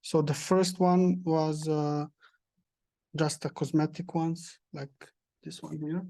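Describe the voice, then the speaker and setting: A young man from Bosnia, speaking as a panel guest with many pauses and restarts. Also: fairly high pitch, flat delivery, gravelly, warm tone. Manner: halting, many hesitations and restarts. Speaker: panel guest, prosthesis user. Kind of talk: panel discussion answers.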